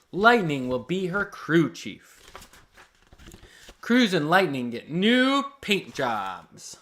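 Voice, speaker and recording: Animated man reading slowly to children. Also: a little high. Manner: slow, simple, expressive reading for children. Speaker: host reading children's picture books aloud. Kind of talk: read-aloud storytelling with friendly asides to listeners.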